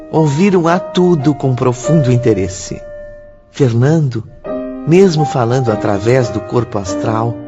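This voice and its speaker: A man's voice: medium pitch, close to an everyday voice. A Brazilian man playing the composed storyteller in a scripted radio drama narration. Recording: dull lo-fi audio under piano music.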